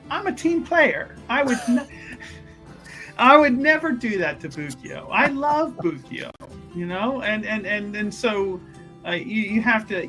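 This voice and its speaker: Animated, hesitant roleplayer with faint music behind. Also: mid-range voice. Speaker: tabletop roleplaying performer. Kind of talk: improvised in-character tabletop roleplay.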